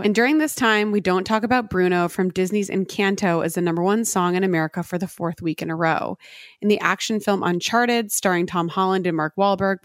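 A woman hosting a true crime podcast in a chatty, upbeat way, her voice hoarse after a weekend of karaoke. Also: medium pitch, no echo, studio quality. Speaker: podcast host.

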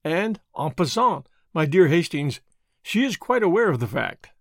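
A man's voice: a little high, big pitch swings, moderate pace, a bit bright, dry recording, clean studio sound, exaggerated voice. A man voicing a fussy, vain Belgian detective with a French accent, pompous and precise in his speech. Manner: pompous, precise, self-satisfied. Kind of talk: audiobook character voice.

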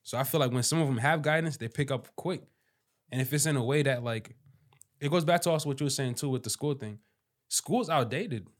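A man's voice: mid-range voice, a little fast. A man from Boston co-hosting a podcast, talking loosely with lots of fillers and arguing his point. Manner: loose, filler-heavy, argumentative. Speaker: podcast co-host. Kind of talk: casual discussion.

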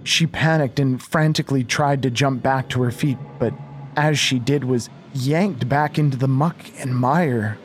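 Slow, measured male horror narrator. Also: medium pitch, slight background noise. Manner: measured, literary storytelling. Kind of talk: scripted horror audio drama narration.

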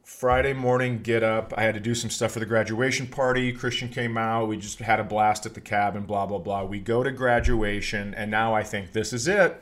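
A man's voice: fairly low pitch, steady tone, moderate pace, few pauses, a bit bright. Middle-aged man telling rambling, sweary stories. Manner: rambling, profane anecdotes. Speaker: podcast host and comedian. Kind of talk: casual comic storytelling.

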